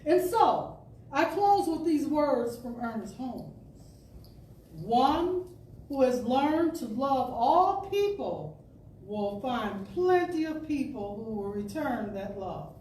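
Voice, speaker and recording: High-voiced woman delivering a slow, dramatic sermon. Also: very animated, a little mellow. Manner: measured, dramatic pauses, emphatic phrasing. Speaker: storyteller, inspirational speaker. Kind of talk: spiritual talk read aloud as dramatic storytelling. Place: indoor room at a spiritual center. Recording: echoey room, distant mic, faint noise.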